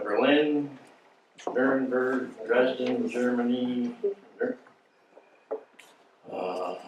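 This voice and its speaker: An American man in his nineties, a war veteran, reminiscing informally to an audience in a halting, plain-spoken way. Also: mid-range voice. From United States. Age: 90s. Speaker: war veteran, guest speaker. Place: indoor meeting room before an audience. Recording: echoey room, distant mic, faint noise.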